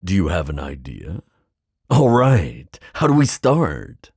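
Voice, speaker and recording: A deep-voiced, playful giant sea god played by a man for an ASMR roleplay. He lingers over his words in a slow, theatrical, teasing way, and his pitch swings widely as he flirts. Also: wildly swooping pitch, darkish tone, slightly rough, dry recording, studio quality, full character voice. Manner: teasing, theatrical, drawn-out phrasing.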